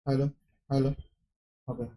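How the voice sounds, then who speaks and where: A man's voice: mid-range voice, little pitch movement, normal speed, mellow. A man with an Indian accent, a native Hindi speaker, giving casual off-the-cuff commentary as he plays a game. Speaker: streamer playing a game.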